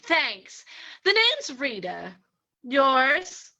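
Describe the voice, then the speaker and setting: High, lively saloon woman played by an actress. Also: drawn-out words, highs cut off, full character voice. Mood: flirtatious. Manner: coy, animated. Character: flirtatious saloon woman, gangster's wary accomplice. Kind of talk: old-time radio drama reading.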